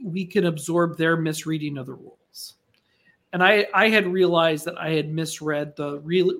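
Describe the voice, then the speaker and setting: Casting: male podcast co-host, high voice with gravelly fry, loose conversational delivery. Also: a bit bright. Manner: meandering, self-correcting. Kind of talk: casual chat.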